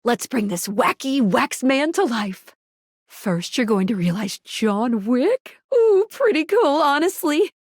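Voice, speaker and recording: High, slightly husky woman gamer. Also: big pitch swings, takes it slowly, no room sound, studio quality. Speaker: game player.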